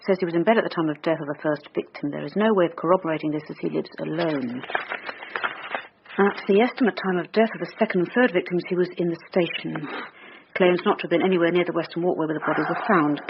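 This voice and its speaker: An actress playing a persistent police detective in a radio drama, brisk, probing and dryly sardonic. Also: female voice, sits low. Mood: insistent, businesslike. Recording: dull sound, faint background noise.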